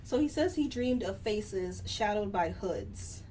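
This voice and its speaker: A woman's voice: medium pitch, lively intonation, takes it slowly, dark tone. A woman co-hosting a casual book podcast, laughing at her own slips and correcting herself as she goes. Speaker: podcast co-host. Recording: distant mic with faint noise.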